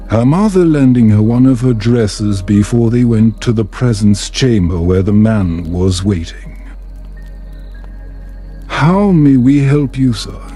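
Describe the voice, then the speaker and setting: Male narrator for sleep stories: calm, low-key, soft dark tone, music underneath. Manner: calm, unhurried, soothing. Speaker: storyteller, narrator. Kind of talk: scripted bedtime story narration, calm ASMR-like reading.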